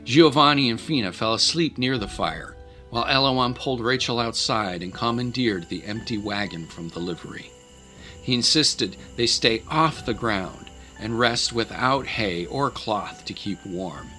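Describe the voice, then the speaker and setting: A man's voice: medium pitch, unhurried, pushed and bright, soft background music. A man narrating an audio drama in a dramatic, scripted storytelling style.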